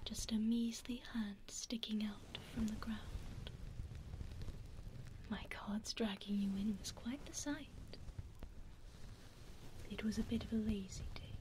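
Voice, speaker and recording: A woman with a British accent voicing a haughty, teasing vampire lady in an ASMR roleplay, whispering softly into a binaural microphone. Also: fairly high pitch, steady tone, background noise. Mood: whispered teasing. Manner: slow, soft whispering, gently teasing. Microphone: binaural 3Dio microphone.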